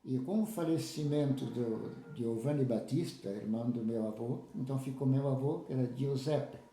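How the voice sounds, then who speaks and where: A man in his seventies, from southern Brazil, recalling his life in a halting way with many restarts. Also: mid-range voice, dark tone, roomy sound. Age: 70s. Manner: halting, restarts with repeated words. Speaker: interviewee, lay church leader. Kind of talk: casual spoken recollection.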